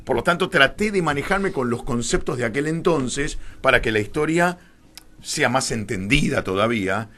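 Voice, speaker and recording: A man's voice: medium pitch, expressive, moderate pace, sharp tone. A middle-aged man with a Rioplatense Argentine accent, explaining his views as a radio interview guest in an opinionated, irreverent, emphatic way.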